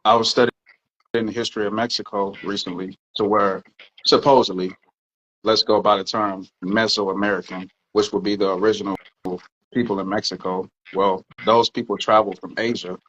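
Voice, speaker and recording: Low-voiced man explaining earnestly. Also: little pitch movement, normal speed, fairly bright tone, highs cut off. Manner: earnest, explanatory, sometimes swearing. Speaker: podcast co-host. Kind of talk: casual podcast discussion.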